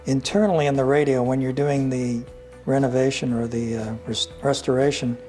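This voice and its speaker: A man's voice: mid-range voice, fairly even intonation, normal speed, warm tone. Man explaining gear, music behind. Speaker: vintage radio reviewer. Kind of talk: casual product walkthrough.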